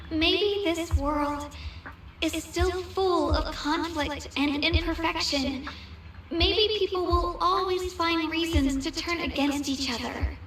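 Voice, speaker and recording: A very high young female voice plays a modest, gentle hero in a video game. She speaks slowly and sincerely, echoing as if in a large space, over faint music. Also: full character voice. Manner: hesitant, sincere, unhurried. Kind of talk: heartfelt public speech in game. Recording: distant echoing speech, soft music behind.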